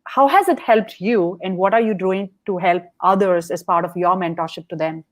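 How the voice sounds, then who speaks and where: A woman's voice: lowish voice, normal speed. A woman hosting a podcast interview who asks prepared questions in an upbeat way. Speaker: podcast host.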